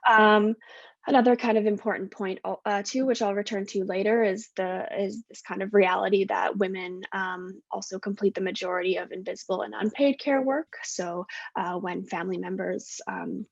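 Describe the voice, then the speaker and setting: A woman's voice: medium pitch, fairly even intonation, moderate pace, vocal fry, no echo. A woman in her thirties with a Canadian accent gives a friendly online presentation, with many fillers and self-corrections. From west coast of Canada. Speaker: guest speaker, postdoctoral researcher. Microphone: video call. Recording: dull lo-fi video call audio.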